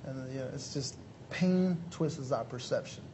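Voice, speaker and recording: A man's voice: mid-range voice, measured pace, background noise. A man in his thirties from Mexico, a motivational speaker, answers earnestly and politely with many fillers. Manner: earnest, lots of "you know" fillers, polite "yes sir". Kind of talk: interview answers.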